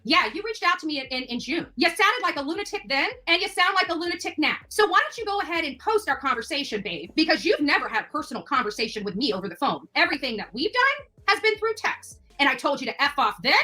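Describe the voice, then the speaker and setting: A high, bright-voiced woman delivers a scornful livestream rant from her home, jabbing at her target with sassy terms of address. Her pitch swings widely as she talks, and her combative tone never lets up. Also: sing-song, fast. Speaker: YouTube live streamer. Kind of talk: ranting livestream callout.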